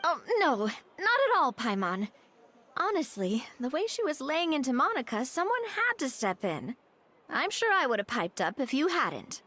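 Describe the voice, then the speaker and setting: A woman's voice: high-pitched, wildly swooping pitch, normal speed, sharp tone, heavily acted. A woman voicing a confident, friendly young woman in a video game who talks in a reassuring way. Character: confident young woman, warm and gracious leader.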